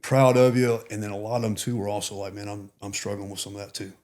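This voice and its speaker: Brief: middle-aged male guest, deep and even, earnest and candid. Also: fast talker. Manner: earnest, reflective, many "you know" fillers. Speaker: podcast guest, retired Marine. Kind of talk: casual interview.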